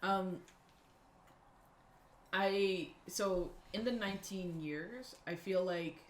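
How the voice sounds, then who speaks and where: A woman's voice: mid-range voice, slow pace. Woman, tabletop player, casual chat, echoey room, faint noise.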